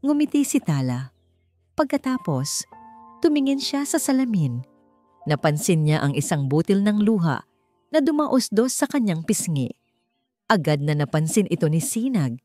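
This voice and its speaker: A woman's voice: lowish voice, very animated, moderate pace, pauses often, mellow, no echo. A woman with a Filipino accent reading a scripted, dramatic romance story as narrator. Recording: music bed under the voice. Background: background music.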